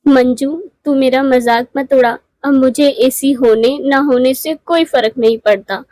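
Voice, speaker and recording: A woman's voice: high voice, fairly even intonation, unhurried, warm tone, no room sound. A woman voicing a boastful, condescending rich elder-sister bird in a moral cartoon. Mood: remorseful, pleading. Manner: condescending, showy. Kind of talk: Hindi moral cartoon voice acting.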